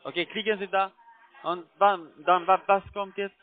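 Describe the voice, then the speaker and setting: A man with a foreign accent, not a native speaker, giving halting step-by-step instructions as a call center agent. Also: sits high, little pitch movement. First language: not German. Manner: halting, broken grammar. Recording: tinny narrow phone line.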